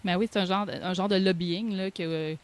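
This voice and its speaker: A woman with a Quebec French accent and a medium-pitched voice. She speaks as an interview host, keeping the exchange casual and conversational. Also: fairly even intonation, fairly quick.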